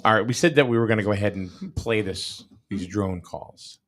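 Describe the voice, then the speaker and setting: A man's voice: on the low side, normal speed, fairly bright tone. A man hosting a casual live talk show, chatting informally. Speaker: show host.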